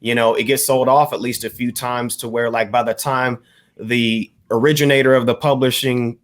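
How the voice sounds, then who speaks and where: A man co-hosting a podcast, explaining things in a measured, casual way. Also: medium pitch, level tone. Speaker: podcast co-host. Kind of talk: casual panel discussion.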